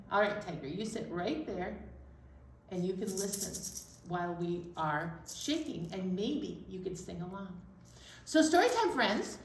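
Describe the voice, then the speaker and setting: A woman leading a children's storytime as a librarian, speaking in a gentle, slow, encouraging way to young children. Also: medium pitch, roomy sound, recorded from a distance, slight background noise. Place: library room.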